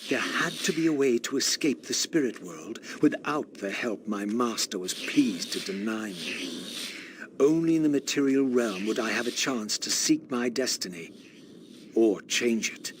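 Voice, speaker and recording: An actor playing a bitter, brooding undead wraith, narrating a video game in a grave, formal, theatrical manner. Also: male voice, mid-range voice, expressive, measured pace, background noise, close to an everyday voice. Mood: brooding, resolute. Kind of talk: scripted dramatic game narration.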